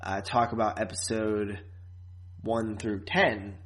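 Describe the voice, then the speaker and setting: A man's voice: fairly low pitch, level tone, slow pace, a bit bright. A man co-hosting a podcast in a casual, informal review chat, with a joking, teasing manner. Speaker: podcast co-host.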